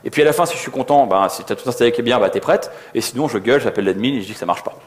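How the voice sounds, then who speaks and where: Guy doing a casual dev conference talk, talks really fast, slangy, plays out bits of dialogue. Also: medium pitch, animated. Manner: slangy, conversational, acts out little dialogues. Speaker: conference co-speaker, software developer. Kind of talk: informal technical conference talk.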